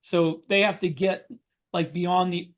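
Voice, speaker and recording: A man co-hosting a writers' podcast over a video call, talking casually and a little haltingly. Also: high-pitched, fairly even intonation. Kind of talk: casual panel discussion.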